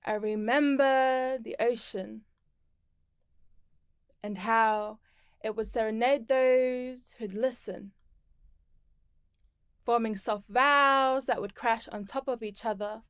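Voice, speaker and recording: A woman from the Torres Strait Islands and Tonga performs spoken word poetry in a slow, deliberate way. Her voice sits a little high and sounds smooth and crisp. The recording is close and dry. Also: fairly even intonation, dry recording. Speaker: performing poet.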